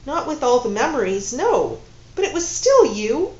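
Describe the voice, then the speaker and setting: Desperate man, halting and pleading, voiced by a woman narrator at her own high pitch, muffled roomy take. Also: sing-song, darkish tone. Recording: dull, roomy recording with faint hum.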